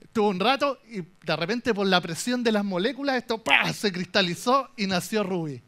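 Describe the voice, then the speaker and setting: A young Chilean man with a fairly high voice gives a conference talk from the stage. He jokes his way through the story, lively and playful, with a Chilean accent throughout. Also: moderate pace. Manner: animated, joking storyteller. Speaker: conference speaker. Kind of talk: humorous tech talk.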